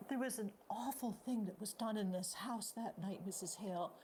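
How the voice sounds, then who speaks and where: An anxious, dutiful sheriff's wife played by a woman, haunted by loss, speaks at a middling pitch. The stage-drama recording carries faint noise. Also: moderate pace, darkish tone, close to an everyday voice. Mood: agitated, horrified. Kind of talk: stage play acting. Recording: noisy amateur recording.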